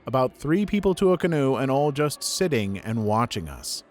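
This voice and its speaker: A man reading online stories aloud as a narrator, at a steady, even pace. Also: medium pitch, normal speed. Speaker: story narrator.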